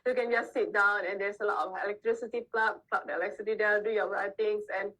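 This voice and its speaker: A woman's voice: medium pitch, fairly even intonation, fast talker, a bit bright, poor audio. A woman author whose first language is Indonesian, chatting casually and laughing often as she answers questions over a video call from a co-working space. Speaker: author guest.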